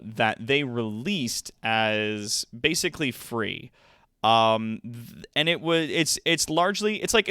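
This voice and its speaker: A man's voice: lowish voice, fairly bright tone, clean tone, dry recording, studio quality. A man hosting a livestream with casual, informal, sweary chat. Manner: profane, informal. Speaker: livestream host.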